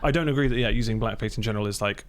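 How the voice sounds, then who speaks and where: A British man co-hosting a podcast, chiming in often and agreeing as he talks. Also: fairly low pitch. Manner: quick, agreeable, chimes in often. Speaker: podcast co-host. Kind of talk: casual chat.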